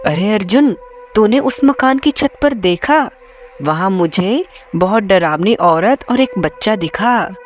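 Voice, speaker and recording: A woman's voice: mid-range voice, lively intonation, takes it slowly, faint music behind. A woman voicing a curious, cheeky young village boy in a cartoon story. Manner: cheeky, inquisitive. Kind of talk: Hindi cartoon story voice acting.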